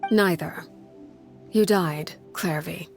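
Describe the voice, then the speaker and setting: A stern, commanding game character with a lowish voice. She speaks formally and with measured restraint, composed throughout. Music plays behind her. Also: creaky. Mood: somber, measured. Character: stern, composed woman, commanding parent-like mentor called Father. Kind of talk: dramatic video game voice acting.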